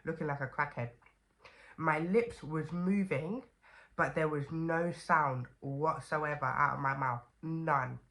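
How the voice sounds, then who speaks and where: A woman's voice: sits low, slow, fairly bright tone. A young woman with a stuffy, congested voice from a cold, chatting casually to camera as a vlogger with lots of fillers and "okay?" asides.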